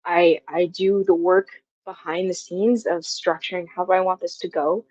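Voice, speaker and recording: A woman's voice: on the low side, little pitch movement, moderate pace, darkish tone, no room sound, muffled recording. A woman in her twenties talking reflectively and casually as an interview guest, feeling her way through her thoughts. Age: 20s. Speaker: guest, audio drama writer.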